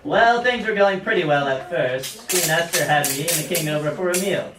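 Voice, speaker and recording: A man's voice: on the high side, moderate pace, close to an everyday voice. A man playing a petty, resentful villain in an amateur puppet show, chatting casually in an aggrieved, everyday way. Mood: chatty. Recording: echoey room, distant mic, clattering noise.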